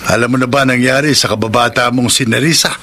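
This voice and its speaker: A man's voice: medium pitch, steady tone, quick pace, bright, forceful tone, slight background noise. A man acting a stern, watchful middle-aged provincial father with a Filipino accent in a radio drama. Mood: chiding, fatherly.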